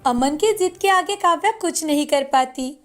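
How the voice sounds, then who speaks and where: A woman's voice: high voice, animated, normal speed, a bit bright. A woman narrating a scripted moral story for a cartoon, in the manner of a storyteller. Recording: music bed behind the voice.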